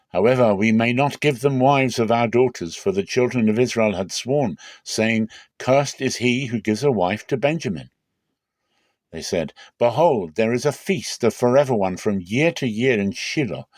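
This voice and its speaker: A man with a lowish voice reads scripture aloud as a dramatic narration. His delivery is measured and solemn, with clear emphasis on key phrases. The sound is very dry, with no room around it. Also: a little mellow, no room sound. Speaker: Bible reader.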